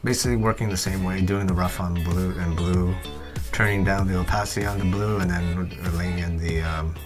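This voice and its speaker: A man presenting an unscripted digital art tutorial voice-over, thinking aloud in a hesitant, halting way. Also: sits low, steady tone. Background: guitar music.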